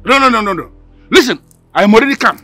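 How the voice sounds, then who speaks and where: A man's voice: very high-pitched, lively intonation, fast, no echo, heavily acted. Man playing a blustering hot-tempered big man. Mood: furious. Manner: blustering, repeats words, shouting. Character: pompous hot-tempered man, a rich regular. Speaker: angry customer called Chief.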